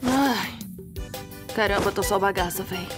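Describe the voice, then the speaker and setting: A woman's voice: mid-range voice, moderate pace, over loud music, natural voice. A woman voicing a brash, bossy young gang leader with slangy Carioca Brazilian speech in a comedic cartoon fan dub. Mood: casual.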